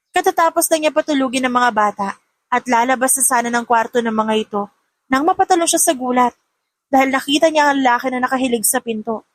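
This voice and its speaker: A woman's voice: fairly high pitch, no echo. A woman narrating a scripted romance story as an audiobook reader.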